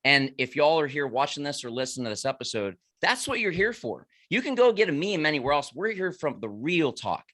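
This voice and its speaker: A man hosting a podcast interview, talking in an energetic, emphatic way. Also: on the high side, a little fast, few pauses. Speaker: podcast host. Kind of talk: casual interview.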